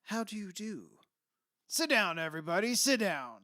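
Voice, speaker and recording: A man's voice: sits high, big pitch swings, moderate pace, sharp tone, no echo, studio quality, exaggerated voice. A man acting in a radio play as a brash, blunt, middle-aged rich father who is impatient and demanding. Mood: brash, indignant. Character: brash wealthy father who bought an old mansion.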